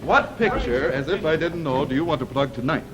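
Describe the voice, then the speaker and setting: A man's voice: fairly high pitch, brisk, lo-fi sound, over a noisy crowd. A man hosting a live TV game show with chatty, jokey, off-the-cuff banter and a showman's delivery. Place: television studio with audience. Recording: old TV studio soundtrack, audience behind.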